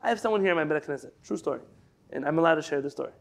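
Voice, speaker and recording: High, gravelly rabbi teaching online. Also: male voice, lively intonation, rapid-fire. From San Diego, California. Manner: digressive, chatty, quotes sources then paraphrases. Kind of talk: conversational Torah class. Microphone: video call.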